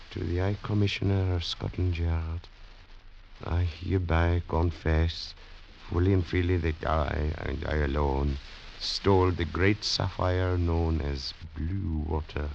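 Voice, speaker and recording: An actor playing a stern, bewildered French Foreign Legion officer in a dramatic, deliberate 1940s radio style. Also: male voice, low-pitched, fairly even intonation, gravelly, darkish tone, a little hiss or hum, full character voice. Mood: grave, puzzled. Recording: muffled 1940s radio recording with music.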